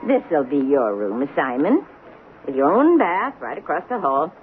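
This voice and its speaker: An actress playing a serene, shrewd, motherly woman in her eighties in a radio drama, knowing and gently teasing. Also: deep voice, very animated, measured pace. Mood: tender, hospitable. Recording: muffled narrow-band radio broadcast, noisy.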